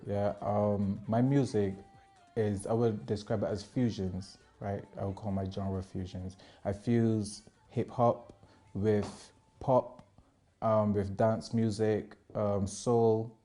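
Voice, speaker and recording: A man's voice: fairly low pitch, fairly even intonation, slow pace, dark tone, soft background music. A man with a Bajan accent giving a casual interview as a musician, informal and often rephrasing himself. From Barbados. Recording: roomy, echoing interview room.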